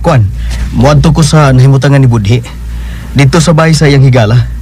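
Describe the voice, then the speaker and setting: A man's voice: medium pitch, brisk, a little mellow, natural voice. A man playing a stammering nervous young man. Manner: stammering, hesitant.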